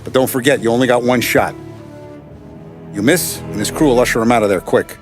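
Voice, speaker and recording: A man plays an American Mafia boss in a cinematic crime game. He is deliberate and authoritative, with menace under a calm surface and a medium-pitched voice. Faint music runs behind him. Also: close to an everyday voice. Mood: cold, commanding. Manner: deliberate, authoritative, veiled threats.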